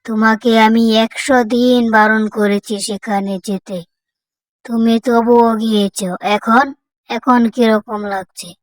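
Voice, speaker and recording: A man's voice: high-pitched, steady tone, slow, no room sound, voice pushed up. A man voicing a feisty, scolding village wife in a children's cartoon story. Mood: reproachful. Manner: scolding, indignant.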